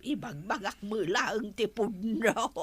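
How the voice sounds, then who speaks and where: A woman's voice: mid-range voice, slow pace, a bit bright, husky, dry recording. A woman acting a gossipy, teasing villager who angles for snacks in an Ilocano radio comedy, speaking with an Ilocano accent.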